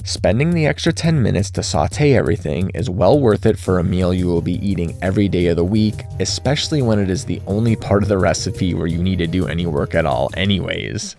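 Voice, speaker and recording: Deep gravelly man narrating a recipe. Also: a little fast, hardly any pauses, soft background music. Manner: steady instructional narration. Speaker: cooking channel host. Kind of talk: scripted recipe voice-over.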